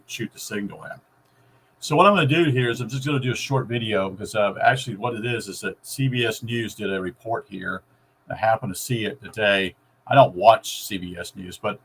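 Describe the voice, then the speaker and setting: A man giving a casual, rambling explanation to viewers in a halting, folksy way. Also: on the low side, a little hiss or hum. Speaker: vlogger explaining radio.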